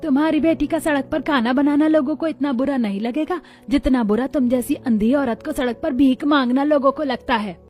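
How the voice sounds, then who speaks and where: A woman's voice: sits high, fairly quick, few pauses, darkish tone, faint music behind, lightly acted. A woman voicing a practical neighbour auntie in a cartoon story, giving matter-of-fact advice.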